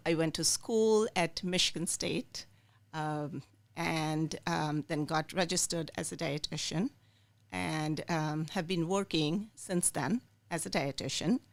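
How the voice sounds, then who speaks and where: A woman's voice: deep voice, slow. A middle-aged woman from India, a dietitian, telling her life story as a podcast guest, with many fillers.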